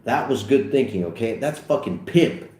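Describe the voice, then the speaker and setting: A pushy, foul-mouthed teenage schemer, voiced by a man in a comedy script reading. He is brash and very animated in pitch, with audible room echo. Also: normal speed, lightly acted. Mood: sarcastic. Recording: echoey room.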